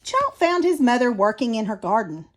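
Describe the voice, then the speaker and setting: A woman reading a children's storybook aloud as an expressive narrator. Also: on the high side, normal speed. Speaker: storybook reader.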